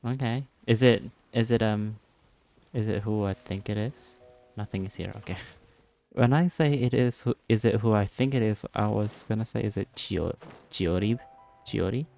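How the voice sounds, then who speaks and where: A man's voice: on the low side, normal speed, vocal fry, warm tone, faint music behind. A man giving casual playthrough commentary in short, murmured reactions, often repeating words, into a close microphone. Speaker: gaming video maker.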